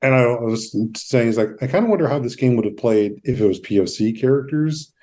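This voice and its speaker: A man with a mellow, mid-pitched voice hosts a relaxed interview. He talks conversationally and thoughtfully, with phrases that wander as he builds his point. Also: fairly quick. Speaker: podcast host.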